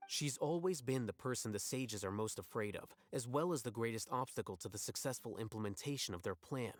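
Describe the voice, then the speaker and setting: A man playing a calm, calculating scholar in a video game, laying out plans in measured, articulate phrases. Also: mid-range voice, no room sound, soft background music, natural voice. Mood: composed.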